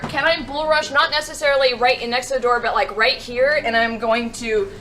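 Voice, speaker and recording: A woman's voice: on the high side, fairly even intonation, brisk, bright, forceful tone, slight background noise. A woman talking casually as a player in a tabletop game.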